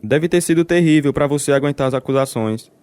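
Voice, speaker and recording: An actor playing a devout imprisoned preacher and tender husband in a radio drama, speaking earnestly. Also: male voice, a little high, quick pace, darkish tone, smooth, no echo, natural voice.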